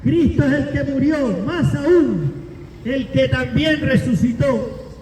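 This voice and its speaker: A man in his forties delivers a declamatory sermon, stacking up phrases and rising toward shouts. His voice sits very high for a man and his delivery is slow and deliberate. He is recorded from a distance in a roomy, echoing space with a long reverb and faint background noise. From Cuba. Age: 40s. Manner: declamatory, builds up lists and rises to shouts. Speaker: preacher. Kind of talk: sermon with scripture reading and altar call. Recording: echoey, long reverb, from afar.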